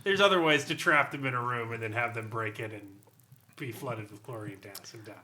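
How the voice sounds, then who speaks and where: A man's voice: mid-range voice, fairly quick, pushed and bright. A man giving casual, opinionated critiques on a podcast, blunt, sweary and skeptical, with some noise and clicking on the recording. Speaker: podcast panelist reviewing game material.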